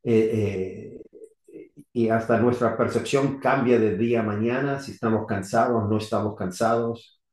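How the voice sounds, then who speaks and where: A middle-aged man from Argentina, now living in the US, giving an unscripted technical talk on electrical safety in halting, rusty Spanish full of restarts and fillers. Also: fairly low pitch, steady tone, a little mellow. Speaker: electrical safety engineer presenting. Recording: echoey room.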